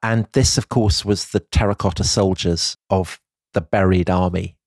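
A man's voice: deep voice, fairly even intonation, normal speed, dry recording. A man co-hosting a history podcast, explaining at length in a thoughtful way and often hedging with "I think.". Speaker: podcast co-host.

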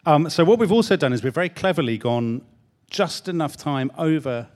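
A man's voice: a little high, animated, normal speed. A man co-hosting a live talk show, dry and teasing with his partner. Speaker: podcast co-host at a live show. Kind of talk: live conversation.

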